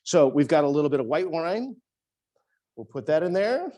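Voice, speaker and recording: A man's voice: on the high side, big pitch swings, normal speed, mellow. A man, an executive chef, giving a casual cooking demonstration in a teaching kitchen, talking chattily with many restarts.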